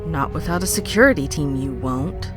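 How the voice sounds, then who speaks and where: A woman's voice: sits low, expressive, moderate pace, over music. A woman playing a suspicious, blunt starship first officer in a science-fiction audio drama, urgent and wary. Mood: distrustful.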